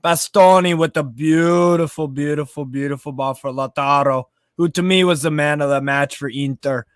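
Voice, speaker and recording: A man's voice: on the high side, normal speed. Enthusiastic young man breaking down football. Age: 20s. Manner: enthusiastic, punchy match analysis. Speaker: football podcast co-host. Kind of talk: casual chat.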